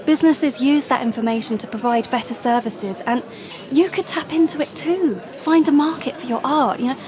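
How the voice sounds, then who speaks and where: An actress playing an anxious, defensive young British woman in an audio drama, speaking in a breathy, hesitant way. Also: sits high, normal speed, a crowd in the background, close to an everyday voice. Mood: defensive, insistent. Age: young adult. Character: anxious, defensive young pregnant woman, confiding yet pushy. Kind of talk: audio drama monologue and dialogue.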